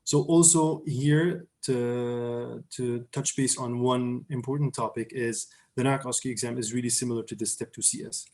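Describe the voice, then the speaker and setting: A man's voice: mid-range voice, fairly even intonation, moderate pace, hardly any pauses, darkish tone. A young man from Syria, a surgery resident, answering interview questions in a hesitant, casual way, with many fillers and restarts.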